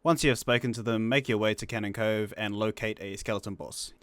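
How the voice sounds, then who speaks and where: A man's voice: on the low side, steady tone, fairly quick, fairly bright tone, dry recording. Dry-recorded man breezily guiding gamers. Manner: breezy, slangy, instructional. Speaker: guest co-narrator of a gaming guide. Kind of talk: casual video game tutorial narration.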